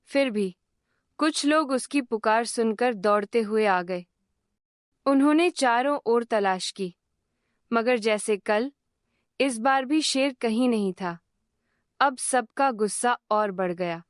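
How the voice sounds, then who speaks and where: A woman narrating a moral cartoon story in a calm, measured voice-over. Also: a little high, dry recording, clean studio sound.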